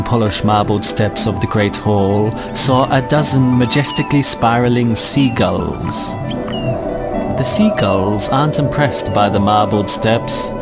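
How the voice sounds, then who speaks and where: A man's voice: medium pitch, close to an everyday voice. A man acting as narrator of a comic science fiction radio play, reading grand scripted description. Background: loud music.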